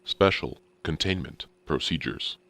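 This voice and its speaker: A man's voice: very deep voice, expressive, unhurried, sharp tone, no room sound, full character voice. A man voicing a cold automated security system for a classified database, making clipped, formal announcements in a sci-fi horror narration. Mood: cold, official.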